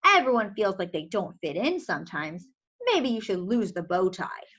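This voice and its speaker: Woman reading as an easygoing, bright-voiced storybook penguin. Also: mid-range voice, very animated, moderate pace, muffled recording, pitched below the performer's own voice. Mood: reassuring. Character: calm, easygoing penguins with frank, kindly advice. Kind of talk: children's storybook read-aloud.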